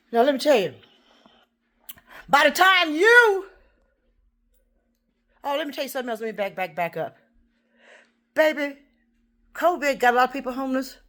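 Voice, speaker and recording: A woman ranting in a rambling, profane monologue, swinging between loud outbursts and low muttering. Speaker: vlogger.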